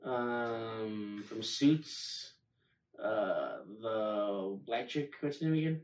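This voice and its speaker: A man's voice: fairly low pitch, expressive, extremely slow. Echoey, distant man chatting casually. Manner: profane, informal slang. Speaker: podcast co-host. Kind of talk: casual group chat. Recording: echoey room, distant mic.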